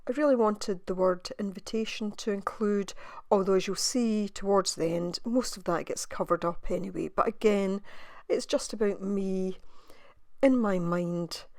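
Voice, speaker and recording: A woman with a slightly croaky voice, musing softly as she narrates her crafting in a voice-over. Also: mid-range voice, no room sound, studio quality. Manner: soft, musing, thinking aloud with pauses. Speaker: craft video maker.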